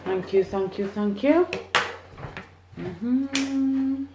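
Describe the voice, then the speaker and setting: A woman as a psychic medium, chatting excitedly through a live-streamed card reading on her phone. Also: high-pitched, drawn-out words, heavy background noise. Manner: chatty, excitable, cheering on share counts. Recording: echoey room, phone mic.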